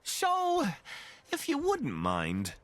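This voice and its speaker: An actor playing a friendly, wry scavenger companion in a video game, chatty and casual with dry humor. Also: male voice, very high-pitched, huge pitch swings, extremely slow, a bit bright, no echo, full character voice. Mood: exasperated.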